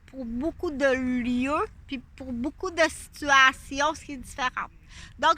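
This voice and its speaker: High bright Québécoise woman, defensive. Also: slight background noise. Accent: Québécois French. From Quebec, Canada. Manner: repetitive, defensive, accusatory, addresses an unnamed "you". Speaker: vlogger. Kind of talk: rambling direct-to-camera monologue.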